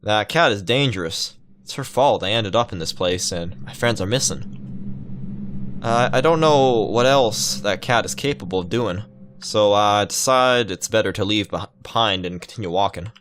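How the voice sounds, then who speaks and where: A man hosting a gaming video, reading a visual novel aloud with casual, halting, rambling commentary. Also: on the low side, a bit bright.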